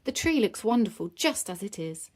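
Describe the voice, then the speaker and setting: A woman voicing a kindly, encouraging mother pig in a children's storybook, gentle and reassuring. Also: mid-range voice, very animated, moderate pace, lightly acted. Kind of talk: children's storybook character reading.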